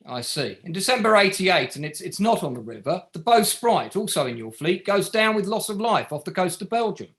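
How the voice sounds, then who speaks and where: An actor playing a relentless barrister who cross-examines with pointed, sardonic questions in a courtroom drama table read. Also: male voice, high-pitched, sing-song, a little fast, hardly any pauses, a bit bright. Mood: pressing, sceptical.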